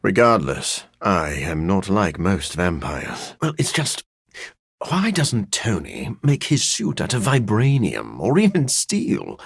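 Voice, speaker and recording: Brooding nerdy vampire for a game, low gravelly voice, dry and formal but swings around a lot. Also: male voice, huge pitch swings, slow, no room sound, full character voice. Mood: wry, awkward. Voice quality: husky, gravelly. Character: brooding, scholarly living vampire scientist, grim and awkward, adult man. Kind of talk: video game character acting.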